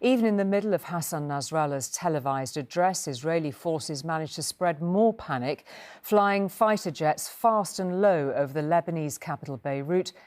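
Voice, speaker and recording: A woman's voice: low-pitched, moderate pace, darkish tone. A woman presenting scripted television news as an anchor.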